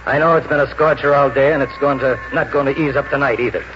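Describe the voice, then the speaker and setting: A man's voice: mid-range voice, steady tone, fast talker, close to an everyday voice. Man acting a blunt New cop, noisy radio. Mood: gruffly concerned. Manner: blunt, colloquial street talk. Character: gruff, suspicious big-city policeman, plain-spoken. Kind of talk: 1940s radio drama acting. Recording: noisy muffled vintage radio recording.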